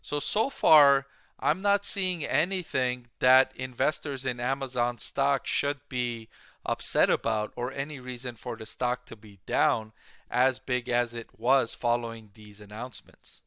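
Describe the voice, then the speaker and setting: A man presents financial commentary as an analyst addressing his viewers. His voice is clear and forward, with a bright edge, in a very dry recording. He explains each point plainly and deliberately. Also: mid-range voice, no room sound. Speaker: host, stock analyst.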